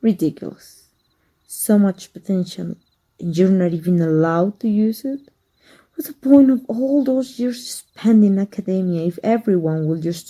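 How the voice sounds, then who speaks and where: A woman from Mexico voicing a cunning dark magic teacher in an ASMR roleplay, speaking softly and measured, sometimes dropping to a whisper. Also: medium pitch. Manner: soft, measured, drops to whispers. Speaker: voice actor.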